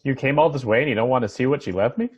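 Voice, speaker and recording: A man's voice: mid-range voice, slightly rough, dull audio, close to an everyday voice. A man reading the part of an uneasy, questioning heir in a TV drama table read, speaking hesitantly. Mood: dry, doubtful. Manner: hesitant, halting. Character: Muff, bewildered male heir, uneasy and questioning. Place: home, remote video call.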